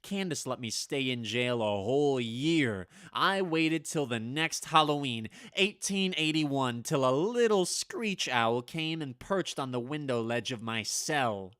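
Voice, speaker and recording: A man's voice: medium pitch, expressive, unhurried, hardly any pauses, a bit bright, clean tone, no echo, clean studio sound. An actor playing a nervous, henpecked old man with a hesitant, stammering delivery in an old-time radio drama. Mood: bitter, indignant. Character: nervous, henpecked old man recalling his witch wife.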